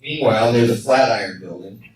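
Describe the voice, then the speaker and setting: An actor narrating a radio play, reading scripted scene-setting lines. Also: male voice, on the low side, a little fast, natural voice. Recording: echoey hall fan recording, distant, faint noise.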